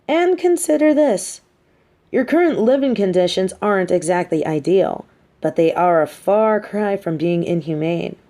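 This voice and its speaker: A woman's voice: fairly low pitch, expressive, unhurried, dark tone. A woman playing a smug, sardonic but sympathetic female supervillain in an audio roleplay, speaking articulately. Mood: smug, negotiating. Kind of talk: dramatic audio roleplay monologue.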